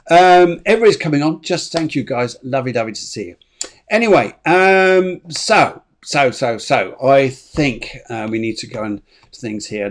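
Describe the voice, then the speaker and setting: Chatty man hosting a show. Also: mid-range voice. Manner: chatty, warm greetings, many fillers and restarts. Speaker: show host. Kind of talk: casual interview on an online show.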